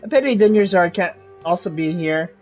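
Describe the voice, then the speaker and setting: A woman's voice: on the low side, lively intonation, normal speed, darkish tone. A woman giving casual playthrough commentary as she streams a video game. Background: game music.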